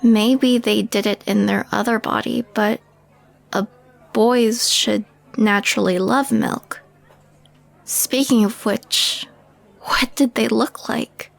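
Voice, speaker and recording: A woman's voice: fairly high pitch, fairly even intonation, takes it slowly, creaky, full character voice. A woman playing a skeptical, wry young alien woman in an audio roleplay, hesitant and questioning. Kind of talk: intimate audio roleplay acting.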